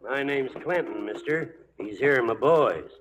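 An actor playing a wily, pushy old cattle-country patriarch with a sly backwoods drawl in a 1940s radio western. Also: male voice, mid-range voice, expressive, measured pace, close to an everyday voice. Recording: muffled, noisy 1940s radio broadcast.

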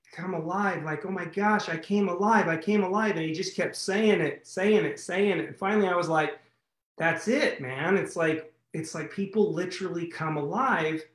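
Excitable man hosting a call, echoey. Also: fairly high pitch. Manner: excitable, quick swings to loud bursts, repeats himself. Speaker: host of a community call. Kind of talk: enthusiastic casual chat. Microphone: video call.

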